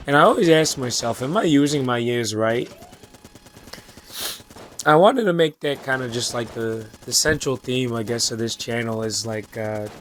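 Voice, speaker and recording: Young man, 20s, hoarse, raspy, rambling monologue, lots of fillers, casual swearing, middle pitch. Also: expressive, moderate pace, a little hiss or hum. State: hoarse from losing his voice. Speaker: channel host. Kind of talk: late-night personal monologue.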